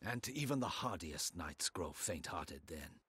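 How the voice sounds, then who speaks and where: A loyal, honour-bound knight in a fantasy video game. His low voice is heavily husky and raspy, and he speaks slowly. The phrasing is formal and courtly, solemn throughout. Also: very animated, bright, forceful tone, heavily acted. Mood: mournful.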